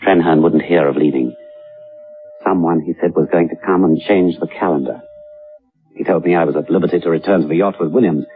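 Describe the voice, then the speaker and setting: An actor playing a sober, uneasy British gentleman telling a sea horror tale on radio, with measured, deliberate phrasing. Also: low voice, natural voice. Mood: grave, uneasy. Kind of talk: dramatised first-person radio narration and dialogue. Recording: dull radio recording, faint music.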